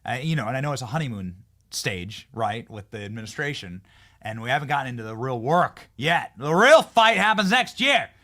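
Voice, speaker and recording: Male show host, bright voice, lively pitch, emphatic, repeats himself mid-sentence. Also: brisk. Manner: animated, emphatic, repeats words when building a point. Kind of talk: political interview.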